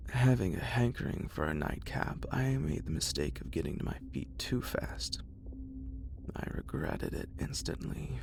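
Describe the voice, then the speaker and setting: A man reading a horror story in a breathy ASMR whisper, in a deliberate, literary storytelling manner. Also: very deep voice, background noise.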